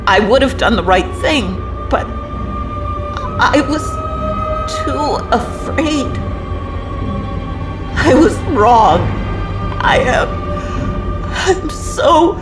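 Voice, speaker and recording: A woman playing a guarded, remorseful former assassin in an audio drama, with halting, intense delivery. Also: fairly high pitch.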